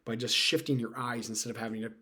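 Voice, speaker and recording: Male career coach host, medium pitch, informal teaching delivery, emphatic with repeated key points. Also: little pitch movement, fast, sharp tone, slightly rough. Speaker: career advice video host. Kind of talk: informal instructional talk to camera.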